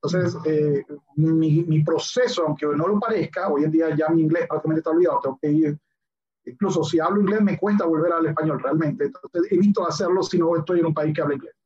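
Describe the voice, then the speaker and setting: A man from Venezuela whose first language is English, a guest telling jokey stories in a relaxed podcast chat. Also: a little high, fairly quick. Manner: playful, joking storyteller. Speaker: guest, lawyer and philosopher. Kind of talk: casual podcast conversation. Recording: dull lo-fi sound.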